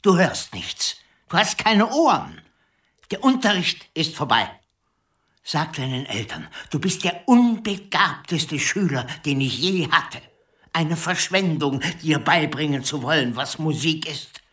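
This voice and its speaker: A man's voice: a little high, sing-song, measured pace, fairly bright tone, recorded from a distance. An actor playing a stern, grieving male piano teacher in a literary radio drama, theatrical and emotionally intense. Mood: scornful, angry.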